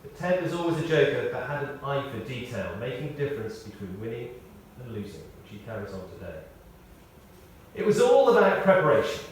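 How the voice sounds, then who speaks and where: A man reading a prepared tribute aloud in short, measured phrases, with wry storytelling. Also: mid-range voice, very animated. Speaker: tribute speaker. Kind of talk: read-out memorial tribute with anecdotes. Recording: echoey hall, distant, faint noise.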